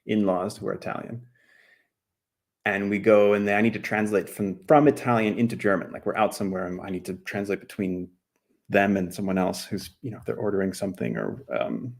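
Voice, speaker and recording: A man's voice: fairly low pitch, fairly quick, vocal fry, darkish tone. An American man from New Mexico, chatting casually and rambling in a self-deprecating way while he draws on a live stream. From New Mexico, USA. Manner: rambling, self-deprecating, slips into other languages. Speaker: cartoonist streaming while drawing.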